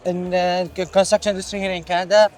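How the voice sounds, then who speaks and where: Syrian guy, hesitant answers, high-ish voice, bit of music in the back. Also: level tone, fast talker, a little mellow. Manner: hesitant, many fillers and restarts, non-native English. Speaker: student. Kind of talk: casual interview answers.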